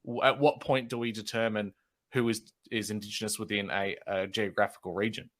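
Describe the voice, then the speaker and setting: Thoughtful man, low voice. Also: fairly even intonation, fairly quick, a bit bright. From Australia. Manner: thoughtful, questioning. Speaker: podcast guest. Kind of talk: casual discussion.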